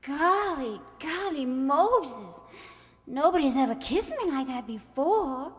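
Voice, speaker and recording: A woman playing a flighty, high-strung society bride in a screwball radio comedy, theatrical and full of breathless exclamation. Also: expressive, slow. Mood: dreamy, giddy. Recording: echoey hall stage recording.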